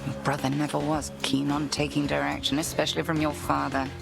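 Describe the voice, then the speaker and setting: A woman voicing a stern, commanding headmistress witch in a game, speaking in clipped orders with a gravelly voice, over game music. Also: low-pitched, level tone, fairly quick. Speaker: headmistress and mentor. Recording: game dialogue with music behind.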